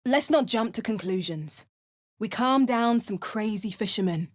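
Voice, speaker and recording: A woman voicing a young adventuring spellcaster in video game voice lines, with dry, wry asides and crisp incantations. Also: mid-range voice, expressive, unhurried.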